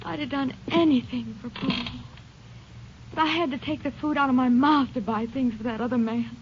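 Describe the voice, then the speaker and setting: Woman playing a high-pitched pleading young pregnant wife, old radio drama, muffled old broadcast. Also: steady tone, normal speed, background noise, close to an everyday voice. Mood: distraught. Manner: pleading, distraught. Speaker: prisoner's wife. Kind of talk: 1950s radio drama acting. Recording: dull vintage radio broadcast.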